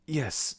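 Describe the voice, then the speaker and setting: A man playing a deferential, doubtful embalmer's assistant serving a god of the dead in an ASMR audio roleplay, with a slow, questioning manner.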